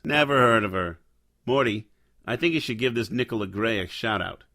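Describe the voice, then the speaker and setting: A man's voice: fairly low pitch, expressive, normal speed, pushed and bright, exaggerated voice. An actor playing a hard-boiled private detective who narrates his case in a noir audio drama. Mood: irritated, brisk. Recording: music under the voice.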